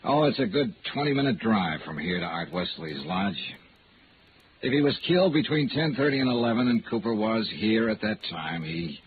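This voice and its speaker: A man's voice: on the low side, expressive, moderate pace, background noise, lightly acted. An actor playing a hardboiled male investigator in old radio noir, with a clipped, wry, dramatic delivery. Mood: probing. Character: hardboiled male insurance investigator narrating his own case. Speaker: lead detective and narrator. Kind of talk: 1940s-50s radio noir acting. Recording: muffled old radio recording with music.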